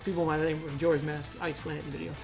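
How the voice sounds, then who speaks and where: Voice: medium pitch, level tone, racing, vocal fry, a little mellow, soft background music. A podcast co-host talking casually and with opinions, emphatic, slangy and given to swearing.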